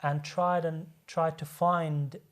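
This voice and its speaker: A man's voice: a little high, flat delivery, takes it slowly. Earnest man explaining at length, often restarting. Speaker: guest speaker, medical doctor. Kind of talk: explaining religion in a studio discussion.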